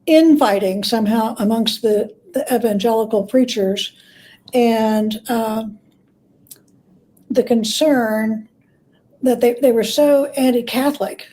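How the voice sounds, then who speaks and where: Woman, higher-pitched, soft mellow tone, conversational expert interview, halting search for words. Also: poor audio. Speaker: guest expert, biographer and scholar. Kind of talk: conversational interview, explaining history.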